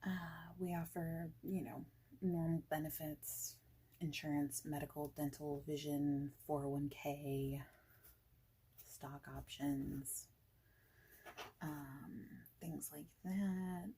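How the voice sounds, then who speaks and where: A woman speaking softly and breathily, often near a whisper, in an informal, reassuring ASMR boss roleplay. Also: low voice, little pitch movement, slow, rough recording. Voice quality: soft, breathy, often dropping toward a whisper. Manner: informal, reassuring, rambling chat. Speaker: ASMR creator playing a friendly boss in a job interview roleplay.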